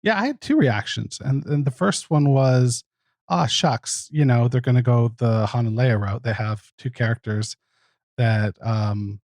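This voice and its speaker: A man's voice: medium pitch, a little slow, a little mellow, no echo, clean studio sound. A man appearing as a podcast guest, musing informally about a film. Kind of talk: casual film discussion.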